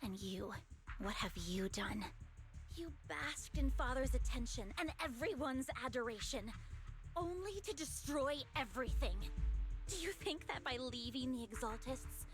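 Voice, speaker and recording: Haughty, high-voiced fanatical villainess. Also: female voice, expressive, a little slow. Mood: accusing, taunting. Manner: theatrical, haughty, taunting. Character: cruel, fanatical young female villain devoted to her father. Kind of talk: dramatic video game voice acting. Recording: game music behind the voice.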